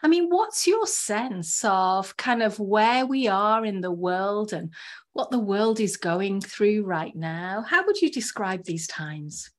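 A woman's voice: mid-range voice, lively intonation, slow. A woman hosting a podcast in an upbeat, effusive way, laughing often. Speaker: podcast host.

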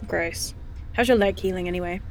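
An actress playing a blunt, teasing but soft-hearted companion, speaking in a dry, naturalistic audio drama style. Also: female voice, lowish voice, brisk, lightly acted. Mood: teasing, exasperated. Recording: music behind the voice.

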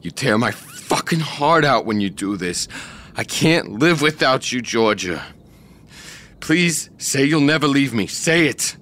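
A man's voice: on the low side, animated, moderate pace, hardly any pauses, sharp tone. An actor playing a possessive, volatile man who swings between whispered threats and desperate pleading. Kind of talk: audio drama acting.

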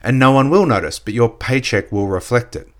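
A man with a slightly croaky voice giving a casual motivational business talk, full of "you know" fillers. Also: medium pitch, brisk. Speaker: network marketing coach. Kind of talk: motivational solo podcast talk.

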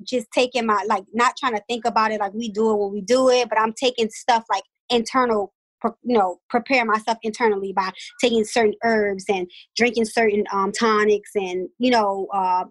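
A woman's voice: mid-range voice, steady tone, brisk, hardly any pauses. A woman in her thirties chatting as a guest, candid and slangy, often laughing as she tells stories. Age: 30s. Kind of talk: casual chat.

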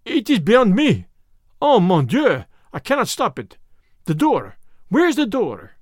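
A man voicing an excitable Frenchman at a seance in an audiobook, exclamatory and strained. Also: high-pitched, normal speed, dry recording, clean studio sound. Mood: panicked. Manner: agitated, exclamatory, cracking under strain. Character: excitable Frenchman conducting a seance, boastful, then panicking. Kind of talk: dramatic audiobook character reading.

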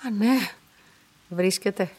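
An actress playing a lonely, watchful young female lab clerk who narrates a radio drama, soft and husky. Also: mid-range voice, natural voice. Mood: reserved, puzzled. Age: young adult.

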